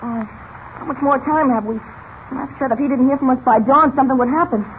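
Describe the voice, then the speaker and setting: An actor playing an eager, earnest, boyish cub reporter with a plucky manner in an old radio serial. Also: male voice, very high-pitched, a little fast. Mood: worried, earnest. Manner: wide-eyed, plucky, questioning. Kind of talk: 1940s radio serial acting. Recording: tinny, band-limited old radio with hiss.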